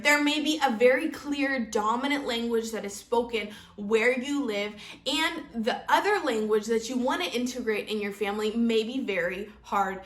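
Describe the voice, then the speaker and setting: A woman's voice: high voice, fairly bright tone, reverberant. A woman in her thirties from Kansas, hosting a homeschool vlog and talking earnestly to camera. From Kansas, United States. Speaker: homeschool channel host. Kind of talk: casual vlog talk to camera.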